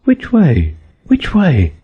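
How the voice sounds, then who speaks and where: Storybook little girl, played by a man: prim, curious, self-admonishing, raised pitch with lively swings. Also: slow pace, slightly rough, narrow, tinny audio, full character voice. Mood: anxious. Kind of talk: storybook audiobook character voice.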